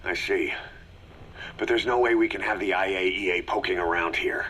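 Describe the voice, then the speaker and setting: An actor playing a gruff, stoic middle-aged military commander in a video game, terse and commanding, with a gravelly voice. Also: male voice, low voice, normal speed, sharp tone, exaggerated voice. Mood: grim.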